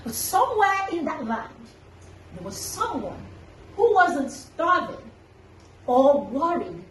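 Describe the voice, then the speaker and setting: A woman hosting a children's story time, telling an animal tale with dramatic, deliberate emphasis. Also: high voice, big pitch swings. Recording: echoey room, distant mic, faint noise.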